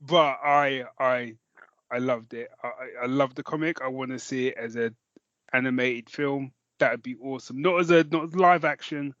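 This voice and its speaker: A British man with a mid-pitched voice talks as a podcast co-host. He is informal, sweary and enthusiastic. The sound is very dry and slightly dull. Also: moderate pace, a bit bright, dry recording. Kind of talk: casual chat about comics.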